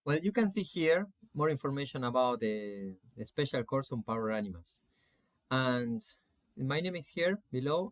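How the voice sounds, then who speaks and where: A man in his forties from Argentina with an Argentine Spanish accent gives an informal online lecture as a coach, phrasing things in a halting, deliberate way. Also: medium pitch, no echo. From Salta, Argentina. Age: 40s. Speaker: spiritual coach teaching an online course.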